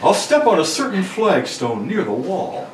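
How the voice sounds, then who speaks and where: A man's voice: high-pitched, very animated. A man voicing a polite, formal butler guarding a secret house in an amateur read of a radio serial. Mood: instructing, formal. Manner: formal, deliberate servant-like speech. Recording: echoey room, distant mic, faint noise.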